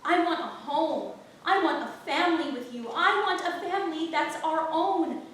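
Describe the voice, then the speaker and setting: Woman acting a high-voiced pleading young maidservant, echoey stage. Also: normal speed, fairly bright tone. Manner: earnest, exasperated. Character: weary, practical, pleading young maidservant in love. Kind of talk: staged play reading. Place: theatre stage, distant microphone. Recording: very echoey theatre, far-off mic, faint noise.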